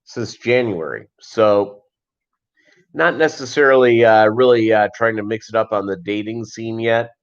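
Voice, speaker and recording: A middle-aged man chatting casually as a livestream host, in a rambling, halting way that often repeats phrases. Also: lowish voice, clear, resonant tone. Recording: dull lo-fi livestream audio.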